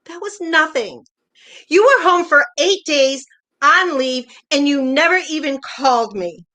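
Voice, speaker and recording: A woman's voice: high-pitched, lively intonation, a little slow, bright, forceful tone, exaggerated voice. A woman playing a hurt, jealous older wife, pointed and probing, in a naturalistic play reading. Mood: stubborn. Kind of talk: virtual staged play reading, naturalistic dialogue.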